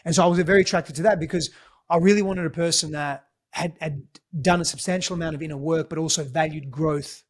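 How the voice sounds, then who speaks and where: A man's voice: fairly high pitch, steady tone, fast talker. A man with an Australian accent whose first language is Greek, a podcast guest telling a personal story in an earnest, reflective way. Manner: reflective, earnest, frequent restarts. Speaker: guest, relationship coach. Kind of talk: conversational storytelling.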